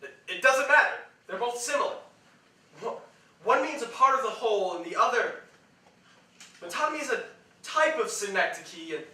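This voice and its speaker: A man's voice: high voice, bright, forceful tone, full character voice. A man on stage playing an impatient, bossy writer in a comic play, with emphatic projected delivery. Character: impatient, exasperated young male writer, bossy and argumentative. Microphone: distant recording of the stage. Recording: echoey theatre, distant mic, background noise.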